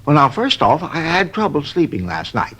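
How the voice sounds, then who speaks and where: A man's voice: fairly high pitch, huge pitch swings, normal speed, fairly bright tone, full character voice, low-quality recording. An actor playing a fussy, long-winded male robbery witness who grumbles and wanders off topic, in 1950s radio drama style. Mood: rambling. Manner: rambling, grumbling, easily sidetracked.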